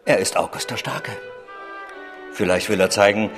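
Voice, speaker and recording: An actor playing a palace guard in a radio drama, musing about his king in a measured way. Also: on the low side, natural voice. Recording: echoey courtyard, music behind.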